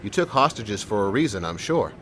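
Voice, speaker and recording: A man playing a calm, sincere and determined police sergeant in an audio drama. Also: fairly low pitch, brisk, a little hiss or hum. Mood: calm, persuasive.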